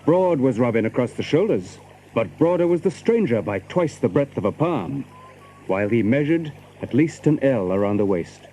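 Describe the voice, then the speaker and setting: A man narrating an adventure story from a script, in measured, literary, archaic English. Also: medium pitch, animated, warm tone, slight background noise, rough recording.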